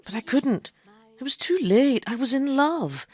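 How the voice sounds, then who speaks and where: A flamboyant female impostor doctor in a period radio play. She talks in grand, self-assured patter, swinging freely up and down in pitch. She is quick-witted and persuasive. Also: animated, darkish tone, husky, no echo, clean studio sound, heavily acted. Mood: wistful, ardent. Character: woman posing as a flamboyant, quick-witted male quack doctor. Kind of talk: radio drama acting and narration.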